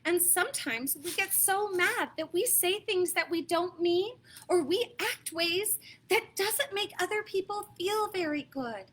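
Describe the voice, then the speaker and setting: A woman with an American accent reads a storybook to young children in a high, bright voice. She takes her time and leans into key words with dramatic emphasis, adding little asides to her listeners. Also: a little slow. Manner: expressive, dramatic emphasis, asks listeners questions. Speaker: storyteller reading picture books to children.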